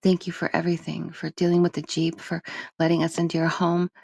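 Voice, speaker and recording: Woman voicing a mom in an audiobook, soft soothing talk, tender, close dry sound. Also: fairly low pitch, monotone, brisk, creaky, no echo. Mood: grateful. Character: tender, reassuring young mother of a small child. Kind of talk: audiobook character voice.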